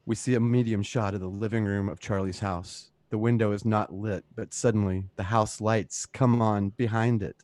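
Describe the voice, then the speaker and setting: A man's voice: fairly low pitch, steady tone, normal speed, few pauses, darkish tone, no room sound. A man narrating a script's scene directions as the narrator of a radio play. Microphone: close home microphone.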